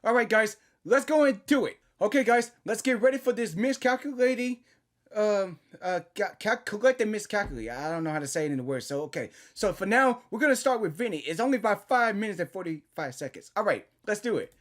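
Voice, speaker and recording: A woman hosting a reaction video, giving excited casual commentary on a game and exclaiming in astonishment at what she hears. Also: mid-range voice, brisk.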